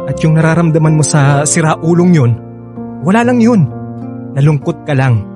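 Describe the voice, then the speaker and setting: An actor with a Filipino accent playing an earnest, persistent young ex-boyfriend in a radio drama. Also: male voice, a little high, moderate pace, music in the background, close to an everyday voice. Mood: pleading, insistent. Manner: earnest, insistent, sweet-talking.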